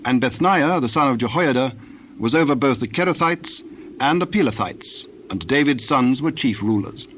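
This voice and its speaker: A man narrating scripture from a script in a measured, formal way. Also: mid-range voice, expressive, a bit bright, poor audio. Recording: clear background noise with birdsong.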